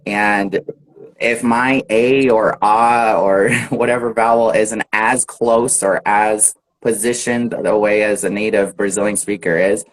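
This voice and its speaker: An American man, an English teacher, chatting casually on a live video call, relaxed and laughing often. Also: on the low side, slow, poor audio. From United States.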